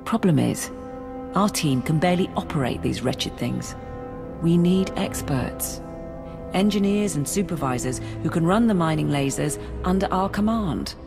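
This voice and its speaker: A woman playing a brisk, dry-witted Imperial military aide in a video game, businesslike and wry. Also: deep voice, lively intonation, gravelly. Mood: briefing. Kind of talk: video game briefing dialogue. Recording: game music behind the voice.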